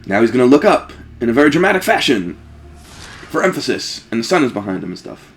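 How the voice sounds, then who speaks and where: A man's voice: medium pitch, sing-song, quick pace. A man hosting a Let's Play, giving casual, jokey game commentary with exclamations and swearing.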